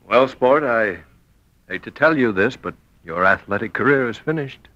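A man's voice: medium pitch, animated, moderate pace, pushed and bright. A man playing a solemn, blunt hospital doctor in a 1940s radio drama. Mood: somber. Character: somber, blunt male hospital doctor breaking bad news. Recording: noisy, muffled old radio recording.

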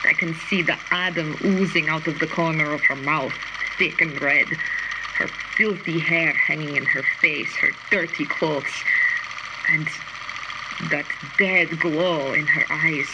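Bitter, haunted scientist, heavy noise. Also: female voice, low-pitched, steady tone, muffled recording. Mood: brooding, haunted. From Belarus. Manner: brooding, deliberate. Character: bitter, tormented woman scientist, haunted former prison camp collaborator. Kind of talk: dramatic confessional monologue. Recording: very noisy, radio-like audio diary.

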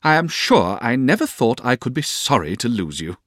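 A man voicing a brisk, kindly, eccentric older aunt in a storybook reading, emphatic and gruffly affectionate. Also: mid-range voice, big pitch swings, fairly bright tone, no room sound, clean studio sound, voice pushed up. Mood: brisk, fond.

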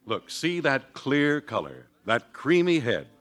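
A man's voice: medium pitch, sing-song, slow pace, fairly bright tone. A man with an American accent announcing and selling in a hearty, polished old-time radio style. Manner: hearty, polished sales delivery. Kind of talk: 1940s radio advertising and announcing. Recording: old radio broadcast recording.

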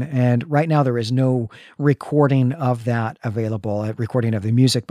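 A man with a mid-pitched voice talks as a podcast co-host. His delivery is casual but bookish, full of appreciation and detail. The recording is dry, without room sound. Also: darkish tone, no echo. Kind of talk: casual, informed podcast discussion.

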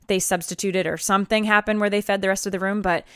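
A woman co-hosting a podcast, telling a story in casual chat with lively restarts and laughs. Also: medium pitch, fast talker. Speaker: podcast co-host, wedding singer.

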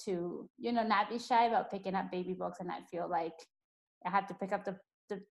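A woman's voice: medium pitch, fairly even intonation, a little fast, vocal fry. A young woman who grew up speaking Spanish, hosting a casual podcast conversation in a chatty way with lots of fillers. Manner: chatty, uses many fillers. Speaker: podcast host.

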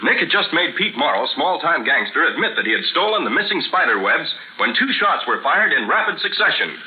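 Old time radio announcer guy, american, high lively voice, tinny recording. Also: a little fast, over loud music. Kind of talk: 1940s radio advertising and scripted narration. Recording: hissy, tinny 1940s radio broadcast.

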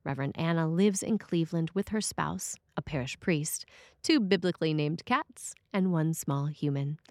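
A woman's voice: low-pitched, animated, normal speed, darkish tone, no echo, clean studio sound. A woman reading a written sermon as a preacher, in a scripted style.

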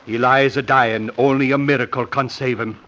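An actor playing a gloomy village surgeon bearing bad news in a radio melodrama, declamatory and old-fashioned. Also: male voice, medium pitch, steady tone, normal speed, fairly bright tone, lightly acted. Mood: solemn, ominous. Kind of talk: old-time radio drama acting. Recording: muffled old radio recording.

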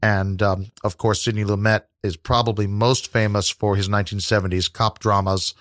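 A man's voice: on the low side, flat delivery, moderate pace, no room sound. A man, a guest in an interview, speaking thoughtfully and rambling with many fillers. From New York. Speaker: interview guest, New York tour guide. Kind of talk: casual interview conversation.

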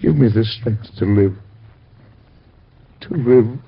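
An actor playing a devout, grieving father and president, husky and gravelly, in a solemn, measured way of talking, from an old radio drama. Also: medium pitch, lo-fi sound, heavily acted. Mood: prayerful. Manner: solemn, measured, gently reassuring.

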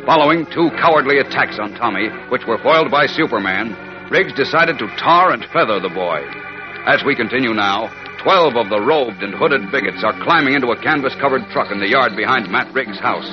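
A man's voice: mid-range voice, animated, brisk, over music. A man narrating a 1940s radio serial as announcer, with dramatic, urgent delivery. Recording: muffled old radio recording.

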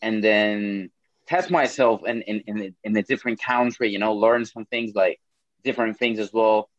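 A man's voice: lowish voice, normal speed, no echo, highs cut off. A young man from Brazil with a Brazilian Portuguese accent, speaking English as a second language in a casual interview, often repeating words and swearing casually. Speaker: MMA fighter.

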